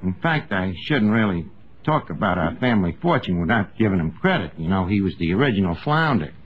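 Animated New York schemer, noisy old radio. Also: male voice, deep voice, fairly quick. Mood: boastful. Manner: malapropisms, streetwise slangy talk. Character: scheming, bragging lovestruck tavern manager, uneducated tough guy. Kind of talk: 1950s radio comedy acting. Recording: noisy, dull 1950s radio recording.

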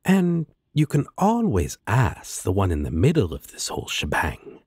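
A man's voice: lowish voice, wildly swooping pitch, moderate pace, darkish tone, breathy edge, no echo, studio quality, heavily acted. A man playing a laid-back, sarcastic, protective demon roommate in an audio roleplay, with a drawling manner. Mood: casual, matter-of-fact. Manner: drawling, sardonic.

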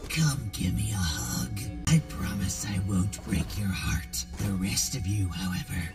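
A man voicing a possessive, lovesick villain in a cartoon, clinging and softly menacing, slightly off the mic. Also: fairly low pitch, vocal fry. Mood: possessive, tender menace. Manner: slow, clinging, intense. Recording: slightly distant mic under music.

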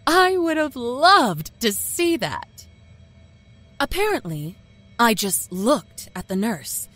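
Lively, sly Southern small-town woman, wry confiding horror-tale narrator, music underneath. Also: medium pitch. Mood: wry, storytelling. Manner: wry, folksy, confiding storyteller. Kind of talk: dramatic first-person horror story narration. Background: musical score.

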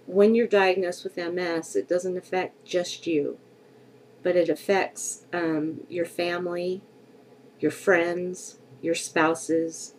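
A woman's voice: on the low side, a little mellow, slight background noise, rough recording. A middle-aged woman vlogging to her webcam at home, congested from a cold, speaking candidly and reflectively with many hesitations. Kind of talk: personal vlog to camera. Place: bedroom at home, indoors. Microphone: webcam at desk distance.

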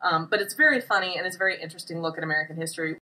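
Female podcast co-host, forward bright tone, informal and rambling, miked from slightly away. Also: lowish voice, very fast. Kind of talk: casual podcast chat. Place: small room. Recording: slightly distant microphone.

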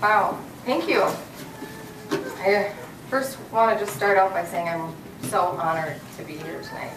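A woman's voice: medium pitch, lively intonation, measured pace. An American woman in her forties delivering a keynote commencement speech from a podium. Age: 40s. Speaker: keynote speaker. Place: hotel hall, at a podium on stage. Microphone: podium mic, captured by far audience camera. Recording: roomy, distant, noisy auditorium recording.

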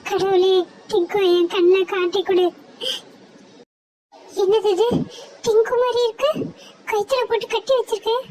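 Anxious scolding mother bird, played by a woman, very high, nagging. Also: warm tone, rough recording. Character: worried, scolding mother sparrow. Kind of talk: cartoon voice acting.

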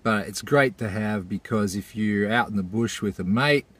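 A man's voice: fairly low pitch, normal speed, fairly bright tone, slightly rough. A man in his forties with an Australian accent, talking casually and unscripted as a laid-back, colloquial vlogger. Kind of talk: casual, unscripted vlog talk.

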